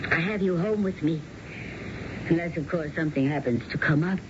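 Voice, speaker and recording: Radio play: woman as forbearing wife, lower-pitched and mild, on a muffled, noisy broadcast. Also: brisk, close to an everyday voice. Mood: gentle, patient. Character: gentle, forbearing wife of a middle-aged man. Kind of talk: 1970s radio drama acting.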